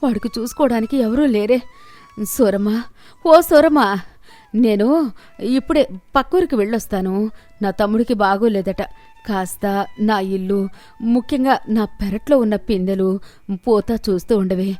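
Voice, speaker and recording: A woman's voice: mid-range voice, moderate pace, long pauses, mellow, no room sound, faint music behind, lightly acted. A woman voicing a poor, caring, outspoken village vegetable seller in a storybook tale, plaintive and homely. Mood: worried. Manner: plaintive, emphatic, homely scolding.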